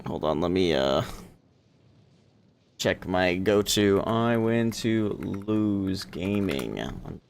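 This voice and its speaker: Low-voiced man casually livestreaming a game. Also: lively intonation, slow pace, creaky. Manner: informal, self-deprecating, thinking aloud. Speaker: gaming livestreamer. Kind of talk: casual livestream gameplay commentary. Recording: music playing behind the voice. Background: music.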